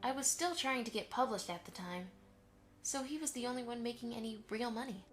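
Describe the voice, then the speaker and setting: A woman voicing a gentle, affectionate mother pony who reminisces fondly in an amateur audio drama. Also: on the high side, a little fast, close to an everyday voice. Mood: fond, nostalgic. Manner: affectionate, storytelling to a child.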